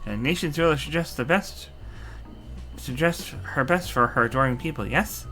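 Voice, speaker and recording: A man voicing an eager, flattering merchant who sweet-talks a customer in a fan dub of a video game. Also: fairly high pitch, normal speed, faint music behind. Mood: wheedling. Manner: flattering, salesy sweet talk. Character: fawning merchant selling alpaca cloaks, eager.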